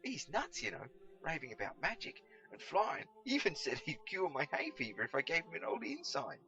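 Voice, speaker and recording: A man's voice: on the high side, huge pitch swings, moderate pace, sharp tone, dull audio, voice pushed up, full character voice. A man voicing a nervous, flustered little man who talks in a hesitant, fussy, repetitive way. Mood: exasperated.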